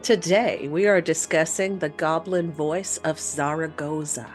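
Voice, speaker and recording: Woman w lowish voice telling a spooky story on a show, chatty style, bit of music under it. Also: steady tone, a little slow. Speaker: co-host telling a paranormal story. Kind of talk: conversational storytelling.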